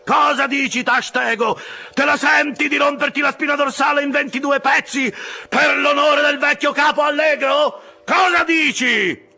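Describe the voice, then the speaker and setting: A man's voice: very high voice, fairly even intonation, normal speed, gravelly, pushed and bright, pitched above the performer's own voice, full character voice. A man voicing a boisterous whaleboat officer who taunts and cajoles his oarsmen in an audiobook story. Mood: frenzied urging. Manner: exclamatory, taunting, mock-exasperated, wheedling and bellowing at his crew.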